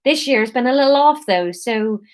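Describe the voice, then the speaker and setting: A woman's voice: fairly high pitch, expressive, moderate pace, voice pushed up, close to an everyday voice. A woman voicing a friendly female market seller with a put-on Somerset accent and homely sales patter. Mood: warm, sly.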